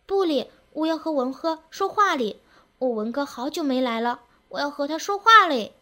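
A woman acts out a disturbed young village girl for an audio story. Her voice is very high and swings widely as she pleads and insists with childlike, clingy longing. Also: lively intonation, normal speed, pushed and bright. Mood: insistent, pleading.

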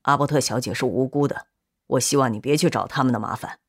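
A woman reading an audiobook as a guilt-ridden, numb young man who speaks in a low, subdued, level way. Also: fast talker, husky, heavily acted. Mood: subdued, pleading. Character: guilt-ridden, numb young Englishman confessing and urging his friend.

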